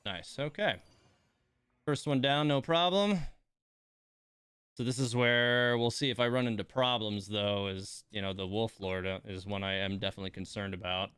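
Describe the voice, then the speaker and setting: A man's voice: lowish voice, normal speed, a bit bright, smooth, no echo. A man livestreaming a game, thinking aloud in casual commentary and muttering complaints and coaching to himself.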